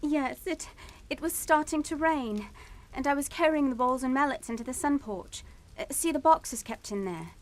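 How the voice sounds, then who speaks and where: An actress playing a distraught, timid young English daughter in a radio suspense drama, speaking in a halting, tremulous way. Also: female voice, high-pitched, lightly acted. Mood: nervous, hesitant.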